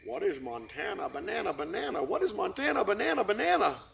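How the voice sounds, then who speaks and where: A man voicing a chorus of excited young cygnets who squeal and chant in sing-song. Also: sits high, fast talker, gravelly, voice pushed up, heavily acted.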